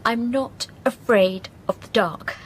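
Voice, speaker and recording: A woman's voice: fairly high pitch, takes it slowly, slight background noise, poor audio. An actress playing a frightened but defiant young woman in a radio drama, anxious and protesting. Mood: defensive, anxious.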